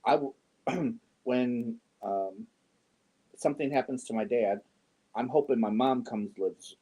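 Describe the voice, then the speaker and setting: A man in his fifties chatting casually with viewers on a yarn livestream, joking and often clearing his throat. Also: mid-range voice, slow, warm tone, poor audio. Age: 50s. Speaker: yarn channel host. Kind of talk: casual livestream chat answering viewer comments.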